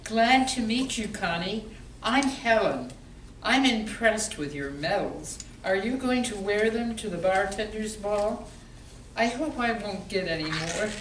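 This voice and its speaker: A woman's voice: medium pitch, lively intonation, measured pace, close to an everyday voice. A woman playing a polite, dryly joking barmaid in an amateur comedy play. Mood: polite, wry. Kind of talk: amateur stage comedy radio play acting. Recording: distant echoey room with faint noise.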